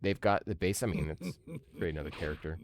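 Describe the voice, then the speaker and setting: Low-voiced man doing casual game commentary. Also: quick pace, slight background noise. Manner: conversational, rambling, opinionated. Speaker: gaming streamer and commentator. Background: video game music and sound.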